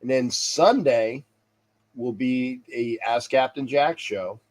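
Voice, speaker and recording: A man's voice: mid-range voice, takes it slowly, a little mellow. A man hosting a sports talk livestream, stuffy and congested, rambling casually and repeating himself as he signs off to viewers. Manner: chuckles to himself. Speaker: sports talk show host.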